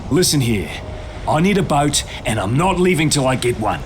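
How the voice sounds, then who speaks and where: An actor playing a gruff, stubborn military captain, blunt and slangy, in a video game cutscene. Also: male voice, medium pitch, big pitch swings, normal speed, slight background noise, close to an everyday voice. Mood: insistent.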